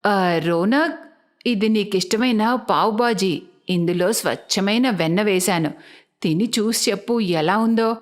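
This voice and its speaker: A woman's voice: mid-range voice, unhurried, pitched below the performer's own voice, close to an everyday voice. A woman voicing a scheming, lovestruck middle-aged stepmother in a cartoon story, with a coaxing, insistent manner. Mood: flirtatious.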